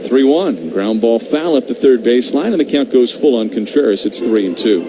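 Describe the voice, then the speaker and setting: Male baseball radio announcer, mid-pitched, conversational yet excitable, clear stadium noise behind. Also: normal speed. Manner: excited home-team calls, conversational between pitches. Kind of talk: live radio play-by-play commentary. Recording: noisy live radio broadcast feed.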